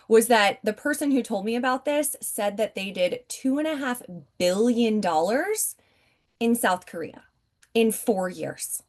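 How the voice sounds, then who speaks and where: Woman, 40s, upbeat chatty presenter pitching over a video call from home. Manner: upbeat, chatty, keeps addressing the audience directly. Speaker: network marketing presenter.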